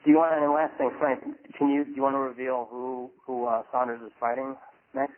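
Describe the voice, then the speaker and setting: A male journalist phones in questions to a conference call. His voice is of average pitch and his delivery is hesitant, full of repeats. The line is thin and narrow. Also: little pitch movement. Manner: hesitant, halting, repeats words. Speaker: boxing reporter.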